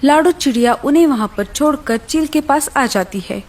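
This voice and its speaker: A woman's voice: sits high, moderate pace, a little mellow. A woman reading scripted narration for a children's animal story as the storyteller.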